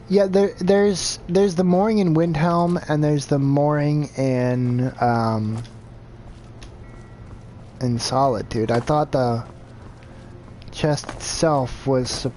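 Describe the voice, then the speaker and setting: A man's voice: a little high, darkish tone, a little hiss or hum. A man streaming a video game live, chatting casually and thinking aloud in a rambling, self-deprecating way. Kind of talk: casual gameplay commentary.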